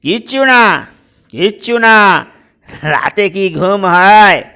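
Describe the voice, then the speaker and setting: A man voicing a fussy, excitable old father-in-law who blusters and grumbles in a comic audio story. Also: high-pitched, slow pace, smooth, voice pushed up, heavily acted. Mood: chatty, grumbling. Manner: loud, repetitive, indignant exclamations.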